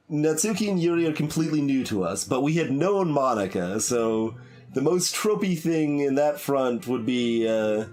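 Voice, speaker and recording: A man giving casual, rambling commentary as he plays a game, halting and thinking aloud as he speculates, with game music behind him. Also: mid-range voice, darkish tone. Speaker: streamer doing a blind voiced playthrough. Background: video game music.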